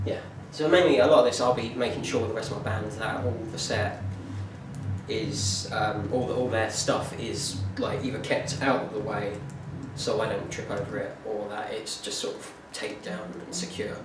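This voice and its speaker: A young man giving an informal class presentation, talking in a halting way with many fillers and restarts. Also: on the low side, fairly even intonation. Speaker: music student presenting a performance plan. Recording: echoey room from far off, noisy.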